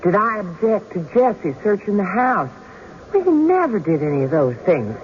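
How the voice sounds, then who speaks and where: A woman's voice: medium pitch, very animated, moderate pace, close to an everyday voice. Actress doing worried folksy country lady, radio play, dull noisy old recording. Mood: fretful, indignant. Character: anxious, indignant, plain-spoken frontier woman. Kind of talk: 1970s radio drama acting.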